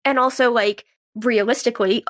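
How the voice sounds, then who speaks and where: Woman guest on a podcast, kinda high voice, casual, says like a lot. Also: fairly even intonation, normal speed, a bit bright. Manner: conversational, frequent "like". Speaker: guest, actress and writer. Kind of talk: casual interview chat.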